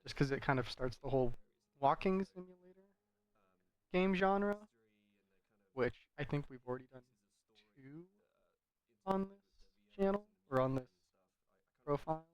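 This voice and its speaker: A man's voice: on the high side, unhurried, long pauses, clear, resonant tone, no echo, poor audio. A man giving casual, rambling commentary over a video game in a conversational, hedging way. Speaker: gameplay commentator.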